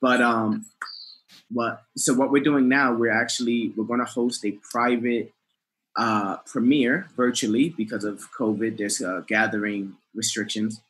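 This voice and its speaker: A man's voice: medium pitch, steady tone, a little slow, a bit bright, breathy edge, poor audio. A man in his thirties, a filmmaker guest on a video call, explaining in an upbeat way through a headset. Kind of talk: casual video call interview.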